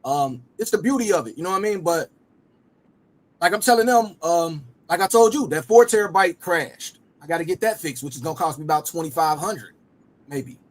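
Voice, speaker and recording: A man's voice: fairly high pitch, lively intonation, brisk. A man, hosting a loose, rambling casual chat and often repeating himself. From Cincinnati area. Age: 40s. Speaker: rapper and host.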